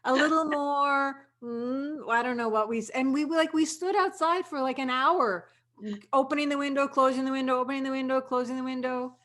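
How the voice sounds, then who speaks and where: A woman with a fairly high-pitched voice talks as a cast member in a group discussion. Her delivery is casual and anecdotal, like informal conversation. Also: fairly even intonation, a little fast, fairly bright tone. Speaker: audio drama cast member.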